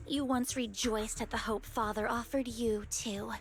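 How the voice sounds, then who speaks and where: A woman's voice: on the high side, steady tone, measured pace, vocal fry. An actress voicing a cold, scheming cult woman in a video game, condescending and persuasive, with music behind. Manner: condescending, persuasive, coolly mocking.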